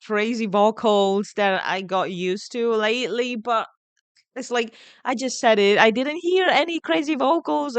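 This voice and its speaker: A woman's voice: medium pitch, smooth, no echo. A woman with an Italian accent, a non-native English speaker, giving casual, enthusiastic music commentary and often repeating words as she thinks. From Italy. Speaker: music reaction video host.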